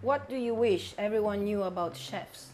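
A woman's voice: mid-range voice, moderate pace, a little mellow, a little hiss or hum, rough recording. A woman interviewer asking brief, direct prepared questions.

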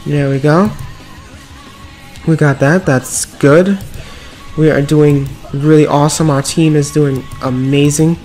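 Upbeat man, raspy from a cold. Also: on the high side, steady tone, takes it slowly, long pauses, darkish tone. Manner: upbeat, filler-heavy running commentary. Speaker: mobile game YouTuber. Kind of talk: casual gameplay commentary. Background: background music.

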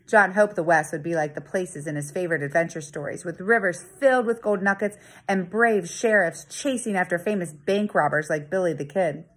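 A woman in her thirties, a teacher, reading a children's story aloud in a dramatic, expressive storytelling manner with deliberate emphasis. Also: lowish voice. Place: living room at home. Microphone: phone or tablet at arm's length.

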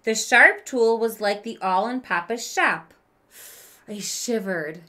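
A woman in her thirties reading a picture book aloud to children in a deliberate, emphatic storytelling manner, pausing to explain things as a teacher would. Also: mid-range voice, animated, pushed and bright. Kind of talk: expressive children's read-aloud with explanatory asides. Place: small room with children's book shelves.

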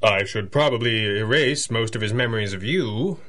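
Man playing a composed, gentlemanly masked hero. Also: lowish voice, natural voice. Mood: reserved, gently stern. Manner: measured, formal, dry. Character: composed, gentlemanly male masked superhero, the Red Panda. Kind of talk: 1930s radio drama acting.

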